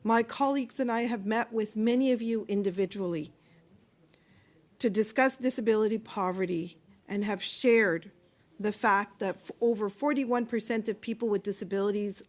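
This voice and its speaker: A woman's voice: medium pitch, normal speed. Woman witness at a hearing, earnest and impassioned, mid-range voice, speaking on a desk mic. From Canada. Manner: earnest, impassioned, with some hesitations. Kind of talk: formal testimony. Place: committee hearing room.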